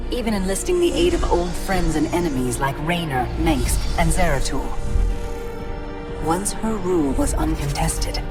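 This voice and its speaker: A woman acting as a solemn, measured chronicler, narrating a galactic war saga for a game cinematic. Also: deep voice. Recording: prominent orchestral music behind. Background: music.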